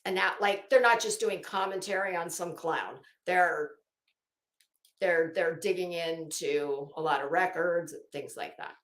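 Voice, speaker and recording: A woman's voice: on the low side, unhurried, fairly bright tone, roomy sound. A woman delivering an unscripted, opinionated commentary rant as an online host, sarcastic and taunting, with theatrical emphasis.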